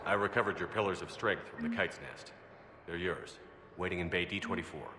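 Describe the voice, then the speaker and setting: An actor playing a composed, commanding military commander in a science fiction game, measured and direct. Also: male voice, low voice, steady tone, sharp tone, a little hiss or hum. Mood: businesslike. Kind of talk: video game acting.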